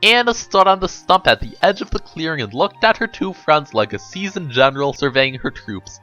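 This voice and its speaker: A man's voice: a little high, very animated, normal speed, pushed and bright, lo-fi sound, soft background music. A man giving a theatrical, emphatic dramatic reading of a comedic story. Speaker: narrator reading a book aloud.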